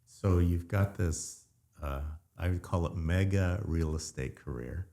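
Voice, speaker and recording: A man hosts a relaxed podcast interview, asking questions in a friendly, halting way that often stops and restarts. His voice is very deep. He keeps a casual, conversational tone throughout. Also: creaky. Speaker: podcast host.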